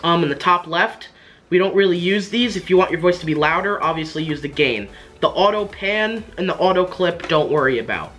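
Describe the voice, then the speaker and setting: A young man hosts a casual how-to tutorial in a bright, forward voice. He explains informally and often circles back to repeat his point. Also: sits high, expressive, a little fast. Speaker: tutorial host.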